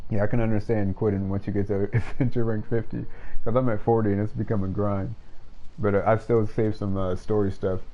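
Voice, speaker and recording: A man's voice: fairly low pitch, little pitch movement, a little fast, mellow. A man doing casual live gaming commentary as a streamer, talking in a laid-back, slangy way. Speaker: game livestreamer. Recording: dull lo-fi mic, game music behind.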